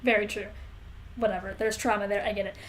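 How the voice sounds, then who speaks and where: A woman's voice: mid-range voice, level tone, quick pace. A woman co-hosting a podcast, recapping a story casually in a wry, slangy way and acting out the dialogue. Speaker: podcast co-host.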